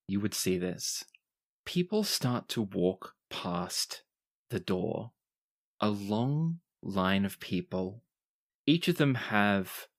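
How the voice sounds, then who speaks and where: Game master narrating in dramatic phrases. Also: low-pitched. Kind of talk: narrating a tabletop roleplaying game.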